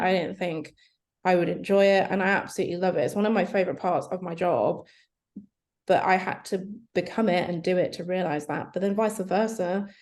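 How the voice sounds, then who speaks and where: A woman's voice: fairly low pitch, a little mellow. A young woman guest on a podcast chat, talking candidly and conversationally about her work. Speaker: guest, head of SEO. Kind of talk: casual online chat.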